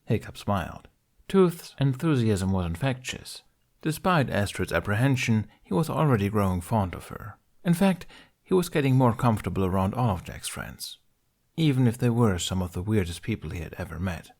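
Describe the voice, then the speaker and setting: A man's voice: fairly low pitch, big pitch swings, normal speed, darkish tone. A man reading scripted fiction aloud as an amateur audiobook narrator.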